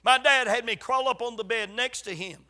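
High-voiced Southern preacher, slightly raspy. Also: male voice, pushed and bright. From South Carolina, USA. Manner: folksy, emphatic storytelling with dramatic pauses. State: getting over a cold. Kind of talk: sermon to a congregation.

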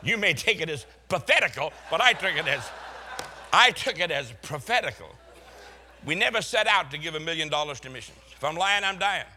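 A man's voice: a little high, expressive, a little fast, pushed and bright, slight background noise. An older man from Boston with a Boston accent, a pastor preaching a sermon full of folksy, chuckling stories.

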